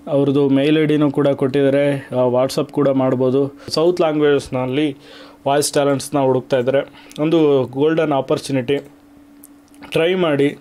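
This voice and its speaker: A man's voice: mid-range voice, flat delivery, moderate pace, dark tone. A man from Karnataka hosting an online video, explaining things to viewers step by step in a casual, conversational way.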